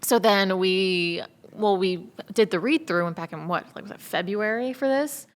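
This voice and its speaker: A woman's voice: mid-range voice, moderate pace. A woman from the United States chatting casually as a cast member, with a reverberant sound. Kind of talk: casual chat.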